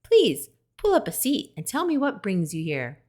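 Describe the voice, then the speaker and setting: A woman voicing a small, friendly female skunk who talks in a squeaky, eager, gushing way. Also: huge pitch swings, normal speed, a little mellow. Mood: excited.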